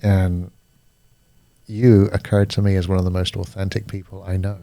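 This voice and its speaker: A British man with a fairly low voice talks things through slowly and reflectively. He is a coach and a podcast guest, and he pauses to find his words. The sound is close and dry. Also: level tone, gravelly, dark tone, no room sound. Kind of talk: casual podcast conversation.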